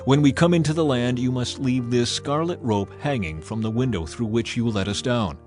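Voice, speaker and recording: A man's voice: mid-range voice, normal speed, darkish tone, faint music behind, natural voice. A man voicing a firm, oath-bound Israelite spy with formal declamation in a scripture dramatization. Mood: firm, warning.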